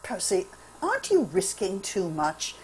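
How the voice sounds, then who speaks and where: A woman's voice: medium pitch, huge pitch swings, measured pace, darkish tone, husky, heavily acted. A woman reading the part of an anxious, loyal Frenchman in a radio drama. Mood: worried, earnest. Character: anxious, loyal young Frenchman, friend of the hero. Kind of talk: table read of a radio drama. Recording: faint noisy home virtual-call recording.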